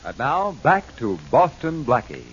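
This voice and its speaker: A man's voice: medium pitch, very animated, a little slow, over loud music. A male announcer reading scripted ads and story narration with polished emphasis on 1940s radio. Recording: dull 1940s radio broadcast recording.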